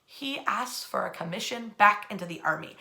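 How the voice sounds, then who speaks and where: An American woman narrating history as a dramatic story, with emphatic, theatrical phrasing and deliberate pauses. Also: mid-range voice, expressive, bright, forceful tone.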